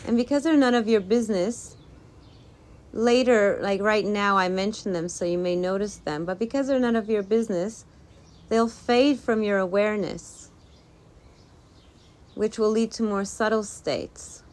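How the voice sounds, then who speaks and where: Woman gently guiding a meditation. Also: mid-range voice, a little slow. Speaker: meditation teacher. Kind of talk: guided meditation talk, informal and unscripted. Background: birdsong.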